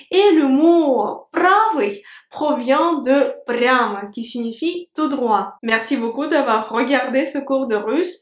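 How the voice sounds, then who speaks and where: Young woman with a Russian accent, high voice and unhurried pace, teaches a language lesson to camera. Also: echoey room. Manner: clear, slow enunciation of words with gestures. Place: indoor room with a plant. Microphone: camera at medium framing.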